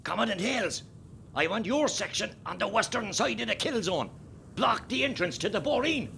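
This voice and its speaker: An actor with an Irish accent playing an impatient, forceful rebel column commander giving orders in a radio play. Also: male voice, sits high, animated, moderate pace, gravelly, slight background noise, heavily acted. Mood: forceful, urgent. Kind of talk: radio play acting, shouted military orders.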